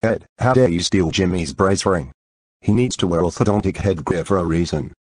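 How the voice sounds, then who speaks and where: A man's voice: low-pitched, normal speed, a little mellow, slightly rough, dry recording, studio quality. A man's text-to-speech voice playing an angry father scolding his son in a cartoon.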